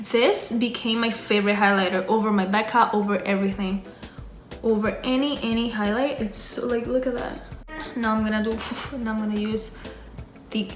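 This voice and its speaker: A woman's voice: on the high side, fairly bright tone. A young woman from the Dominican Republic, a native Spanish speaker, chatting casually through a makeup tutorial and swearing offhand now and then. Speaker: beauty vlogger. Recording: echoey room with background music.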